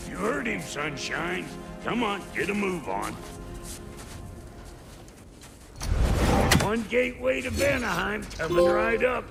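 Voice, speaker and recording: An actor playing a crude, gruff, wisecracking dwarf smith in a video game, with a gravelly, folksy, slangy drawl. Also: male voice, sits high, expressive, moderate pace, loud music behind the voice. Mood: cocky banter. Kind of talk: video game character acting.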